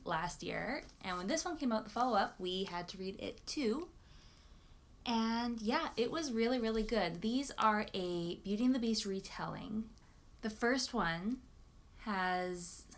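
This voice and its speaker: Casual, enthusiastic woman book vlogger. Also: on the high side. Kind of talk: casual chat to camera about books.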